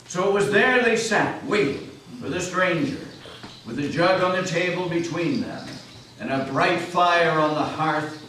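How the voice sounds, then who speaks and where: A man's voice: a little high, a little slow, pushed and bright. A man narrating a scripted tale as a dramatic reading in a meeting house. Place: large echoey meeting house indoors. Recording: echoey hall, distant mic, noisy.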